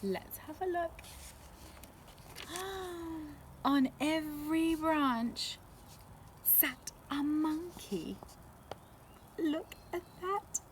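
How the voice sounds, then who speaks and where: A woman storyteller reading a picture book aloud to children, with dramatic pauses and questions put to her young listeners. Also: sits high, a little hiss or hum.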